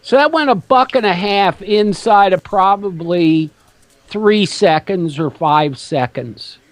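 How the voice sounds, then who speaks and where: Slow halting guy walking thru trade numbers, dry close sound. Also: sits high, lively intonation, no room sound. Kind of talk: casual talk radio.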